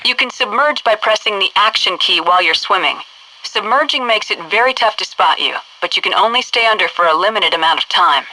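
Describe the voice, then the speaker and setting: Sharp, forceful military HQ instructor woman. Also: mid-range voice, animated, fairly quick, a little hiss or hum, poor audio. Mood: brisk, instructive. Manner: commanding, clipped, instructional. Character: stern, brisk military headquarters training instructor, adult woman. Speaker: mission control voice giving tutorial orders. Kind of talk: scripted video game military briefing.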